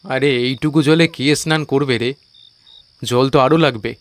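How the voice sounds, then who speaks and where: A man's voice: medium pitch, fairly even intonation, a little slow, natural voice. Man acting a cocky, mocking young skeptic. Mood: bossy, irritated. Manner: mocking, colloquial. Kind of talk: audio drama dialogue in a Bengali ghost story.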